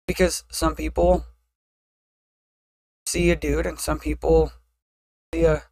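A woman with a deeper voice chatting casually as a podcast co-host, blunt and often laughing at herself. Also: flat delivery, slow pace, gravelly, mellow, no room sound. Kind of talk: casual chat.

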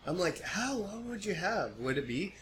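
A man's voice: high voice, very animated, normal speed, fairly bright tone. An American man co-hosting a podcast in casual, slangy, sweary conversation. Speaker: podcast co-host. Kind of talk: casual chat. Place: home studio. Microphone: podcast microphone. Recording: clearly noisy amateur podcast recording.

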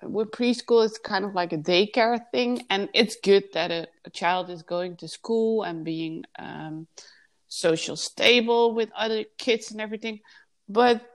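A woman's voice: medium pitch, a little slow. Chatty woman with a Dutch accent. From the Netherlands. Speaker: podcast host and childcare worker. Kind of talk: casual chat about a TV show.

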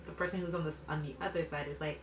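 A woman's voice: low voice, steady tone, very fast, a little hiss or hum. A woman in her late twenties from Canada co-hosting a podcast, talking bluntly and emphatically in casual conversation, with lots of "like" fillers. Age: late 20s. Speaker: podcast co-host. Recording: roomy room sound, distant mic.

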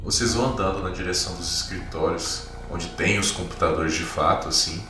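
A Brazilian man working as game master, improvising tabletop RPG narration in a halting, descriptive way with frequent tag questions. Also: low-pitched, fairly even intonation. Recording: very echoey room, distant, music behind. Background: music.

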